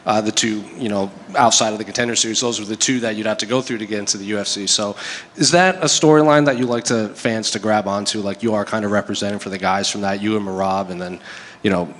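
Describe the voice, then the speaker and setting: Fast-talking male reporter in a hall. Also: on the low side, fairly even intonation, a bit bright, a little hiss or hum. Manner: rambling, filler-heavy questions. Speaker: MMA reporter. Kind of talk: press interview questions.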